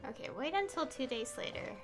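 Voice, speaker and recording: A woman's voice: high-pitched, expressive, fairly quick, music in the background. A woman giving casual live gameplay commentary as a streamer, hesitant and thinking aloud, often trailing off. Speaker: game streamer.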